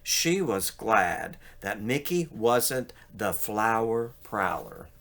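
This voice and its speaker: Male storybook reader, unhurried and expressive, with bright tone and wide intonation. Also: on the low side, gravelly. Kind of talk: expressive children's story read-aloud.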